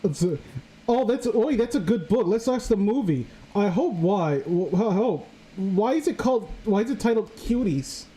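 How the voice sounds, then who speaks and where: A woman's voice: medium pitch, animated, normal speed, dark tone, poor audio. A woman hosting a casual comedic reading, joking crudely and irreverently as she riffs with friends. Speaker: host of a story-reading show.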